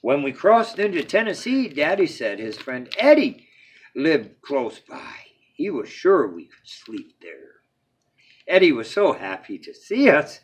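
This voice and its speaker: A man reading a children's storybook aloud as a bedtime story, with dramatic phrasing that opens emphatically and falls to a hush at phrase ends. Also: on the high side, big pitch swings, unhurried, a little hiss or hum.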